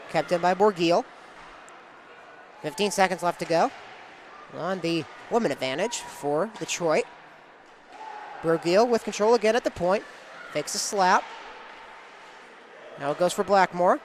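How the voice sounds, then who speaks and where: A man's voice: high voice, a little slow, pauses often, slight background noise. A man working as a play-by-play sportscaster, calling live game action in short, clipped phrases.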